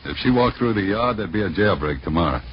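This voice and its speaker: A man's voice: on the low side, animated, fast talker, lightly acted. An American man playing a genial prison warden, hearty and easygoing, in a 1940s radio drama. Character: genial prison warden, old friend of the detective. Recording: muffled, noisy 1940s radio recording.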